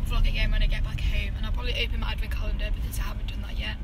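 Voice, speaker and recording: A teenage girl from England chatting casually in a vlog, her voice croaky from illness, rambling with lots of fillers, filmed at home on her phone and then her camera. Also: medium pitch, little pitch movement, very fast. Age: teens. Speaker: vlogger. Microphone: handheld phone, then camera.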